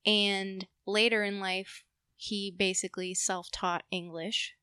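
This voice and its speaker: Slow-talking young woman, bright American voice. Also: mid-range voice, little pitch movement, smooth, dry recording, clean studio sound. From Puerto Rico. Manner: slightly nervous, halting delivery. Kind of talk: casual storytelling.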